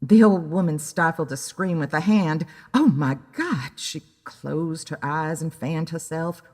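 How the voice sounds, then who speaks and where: A woman's voice: low-pitched, fairly even intonation, a little slow, darkish tone. A woman narrating a scripted story in a dramatic storytelling style. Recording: long hall-like reverb.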